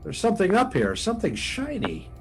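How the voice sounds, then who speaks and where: A man playing a daring, steady test pilot given to excitement, in radio drama style. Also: high-pitched, brisk, faint music behind, full character voice. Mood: excited.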